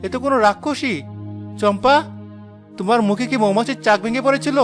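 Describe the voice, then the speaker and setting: A man acts out a hot-tempered working village husband in a cartoon. He is exclamatory and questioning, with a high, forceful, bright voice and music underneath. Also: brisk. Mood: shocked, accusing. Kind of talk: Bengali cartoon moral drama voice acting.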